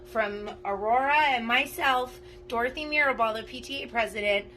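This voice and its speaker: A woman reading a children's storybook aloud in an animated, emphatic way. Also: fairly high pitch, moderate pace, soft background music. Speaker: parent volunteer reading aloud to schoolchildren. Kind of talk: expressive children's storybook narration.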